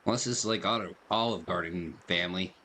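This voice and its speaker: A man's voice: low-pitched, brisk, sharp tone, a little hiss or hum. A man hosting a gaming video, reading dialogue and commenting in a casual, slangy, joking way. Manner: jokey, slangy.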